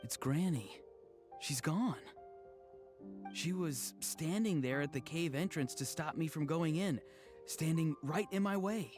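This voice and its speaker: A man's voice: fairly high pitch, unhurried, over music, close to an everyday voice. An actor playing an earnest, eager young man in a video game, wordy, persuasive and slightly awkward. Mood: worried. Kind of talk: video game character acting.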